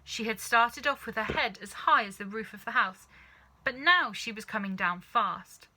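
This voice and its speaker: A woman reading a children's story aloud in an expressive, dramatic narrating style. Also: fairly high pitch, moderate pace, slight background noise, poor audio. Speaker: storybook reader.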